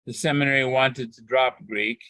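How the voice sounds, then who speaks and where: A man renders another speaker's words into English after each pause. His voice is mid-pitched and recorded close and dry. He keeps an even, steady delivery and sometimes restarts a phrase. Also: no echo. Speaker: interpreter. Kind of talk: consecutive interpretation into English.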